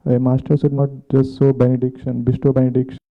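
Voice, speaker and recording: A man asking a question as a class participant, speaking spontaneously in halting, non-native English phrasing. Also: mid-range voice, completely flat delivery, vocal fry, faint music behind. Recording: tinny, phone-like muffled sound.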